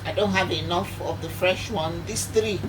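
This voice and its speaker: A woman's voice: lowish voice, normal speed, noisy background. A Nigerian woman with a Nigerian accent talking viewers step by step through cooking a soup in her home kitchen, chatty and full of fillers. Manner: chatty, addresses viewers as guys, many fillers. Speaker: cooking channel host.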